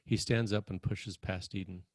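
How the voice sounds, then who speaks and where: A man's voice: low voice, fairly even intonation, moderate pace, a little mellow, dry recording. A man reading screenplay stage directions as a narrator at a table read.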